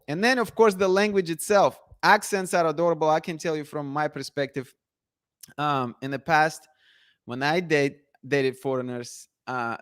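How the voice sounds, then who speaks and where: A man's voice: on the high side, a bit bright. A man hosting a casual live chat, reading viewer comments and talking with many restarts and fillers. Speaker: livestream host.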